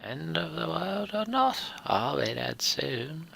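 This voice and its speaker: A man voicing a gruff, fatalistic old wanderer in a fantasy game, tired and resigned to the end. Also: fairly high pitch, very animated, slow, a bit bright, pitched above the performer's own voice, exaggerated voice. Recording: music behind the voice.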